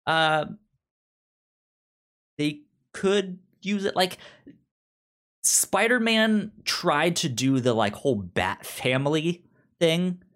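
A man who stutters on syllables and sounds, talking casually and haltingly as a podcast co-host explaining pop culture. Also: fairly high pitch, lively intonation, fairly bright tone.

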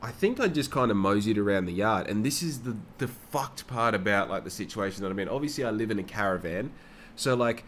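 A young man talks in a low voice, rambling and swearing casually as he tells his own story. Faint background noise sits under his voice. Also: expressive, brisk, fairly bright tone. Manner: rambling and heavily profane. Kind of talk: casual personal monologue.

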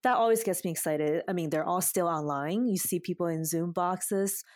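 Campaign organizer, female, lowish voice, earnest reflective delivery, dry recording. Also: normal speed, darkish tone, no echo. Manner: earnest, thoughtful, with fillers. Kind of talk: casual chat.

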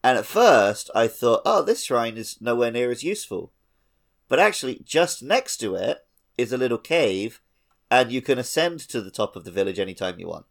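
A man's voice: medium pitch, very animated, moderate pace, creaky. A man co-hosting a gaming podcast, chatting casually and going off on tangents. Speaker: podcast co-host.

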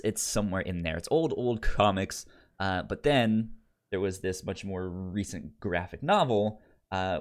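Male podcast co-host, lowish voice, stuttering delivery, loose conversational review style. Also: gravelly. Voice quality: stutters, repeating sounds and syllables. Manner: halting, informal, conversational. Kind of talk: casual podcast review chat.

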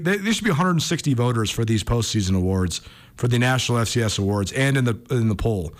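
An American man with a mid-range voice talks casually as a sports radio host. He speaks conversationally, often working through his thoughts aloud. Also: mid-range voice.

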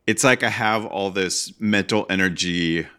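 A man's voice: low voice, measured pace, sharp tone, clean tone. A man co-hosting a podcast in casual chat, talking enthusiastically and rambling a little, with many fillers. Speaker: podcast co-host, newsletter writer.

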